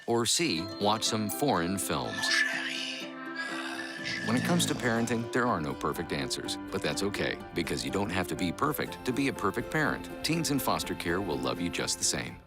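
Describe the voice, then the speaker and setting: A man's voice: low-pitched, big pitch swings, normal speed, vocal fry, loud music behind the voice. A man announcing a scripted advert in the style of a quiz.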